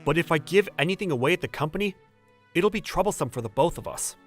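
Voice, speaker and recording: Man playing animated, polite young junior employee. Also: on the high side, quick pace, soft background music. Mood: cautious, polite. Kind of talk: manga dub dialogue and first-person narration.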